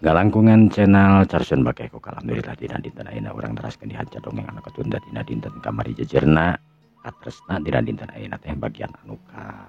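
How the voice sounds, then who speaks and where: A man with a Sundanese accent and a gravelly, husky voice, narrating a tale in the manner of a radio storyteller. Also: very deep voice, quick pace. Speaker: storyteller and channel host. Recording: dull lo-fi recording with music. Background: music.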